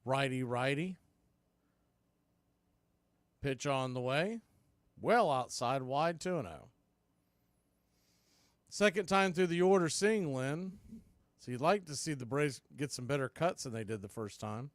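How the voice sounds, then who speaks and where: A man's voice: mid-range voice, animated, unhurried, long pauses, no room sound. A man doing live play-by-play baseball commentary as a radio host.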